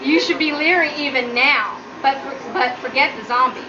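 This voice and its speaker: A woman's voice: moderate pace, crowd noise. A woman giving a humorous prepared speech as a student, with a nervous, giggly delivery. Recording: muffled low-quality audio, noticeable background noise.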